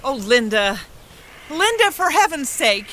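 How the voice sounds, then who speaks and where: A woman's voice: high-pitched, sing-song, a little slow, fairly bright tone, a little hiss or hum, heavily acted. An actress in a radio drama playing a fussy, exasperated stepmother, scolding and plaintive.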